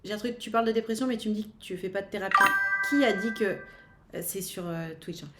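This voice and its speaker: A woman's voice: mid-range voice, a little fast, loud music behind the voice. A woman hosting a live stream in a blunt, casual way, swearing now and then.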